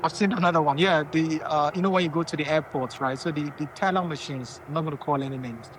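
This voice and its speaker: A man's voice: a little high, fast talker, a bit bright, a little hiss or hum. A man from Nigeria, a company founder giving casual money advice and often checking in with his listeners. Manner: conversational, checks in with "okay?" and "right?".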